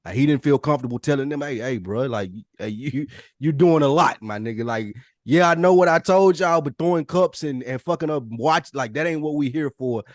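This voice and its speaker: A man's voice: fairly high pitch, a little fast, few pauses, no room sound. Emphatic man talking slangy, close and dry. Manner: emphatic, slangy, profane, repeats phrases for emphasis. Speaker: podcast panelist. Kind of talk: opinionated casual discussion.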